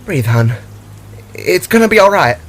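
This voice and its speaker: A man voicing a gentle, tough-talking feathered woman who coaxes and reassures in a dramatic story. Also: high voice, big pitch swings, normal speed, slight background noise, pitched above the performer's own voice. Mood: soothing. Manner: soothing, coaxing.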